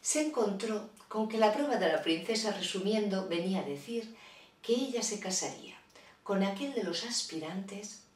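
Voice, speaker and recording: A woman's voice: medium pitch, expressive, moderate pace, reverberant, distant mic. A woman telling a children's fairy tale in a playful, chatty way, with asides to her listeners. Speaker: storyteller. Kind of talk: children's storytelling.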